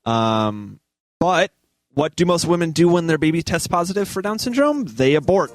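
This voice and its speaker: A man's voice: on the high side, very animated, moderate pace, no echo. A man on a casual comedy show reading news articles aloud, occasionally stumbling over the words.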